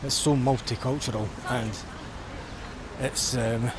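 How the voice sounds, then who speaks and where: Scottish guy, middle aged, mid pitched voice, chatty reflective walking vlog, traffic and street noise. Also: steady tone, slow. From Scotland. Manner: reflective, informal, conversational. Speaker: vlogger. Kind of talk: casual rambling vlog chat while walking. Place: outdoors, walking along a busy seafront.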